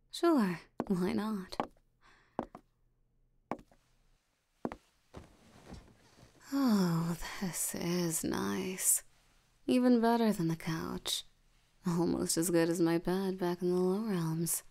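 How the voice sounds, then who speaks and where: A woman playing a lazy, sleepy, ancient demon in an ASMR roleplay, drowsy and unbothered, with a soft tired voice. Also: lowish voice, full character voice. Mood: sleepy, content. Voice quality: soft, breathy, creaky tired voice. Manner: drowsy, slow, drawling, unbothered. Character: sleepy, lazy female sloth demon, ancient but youthful.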